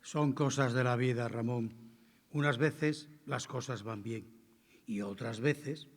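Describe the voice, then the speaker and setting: A man playing an indifferent gentleman in a radio play, husky and sententious, delivering platitudes. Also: medium pitch, slow pace. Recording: echoey hall, long reverb.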